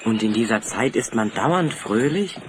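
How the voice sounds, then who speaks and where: An actor playing an inquisitive, ironic adventurer hero in a German audio drama. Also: male voice, lowish voice, animated, a little slow. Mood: ironic, curious. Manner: ironic, questioning. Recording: slightly noisy cassette audio drama.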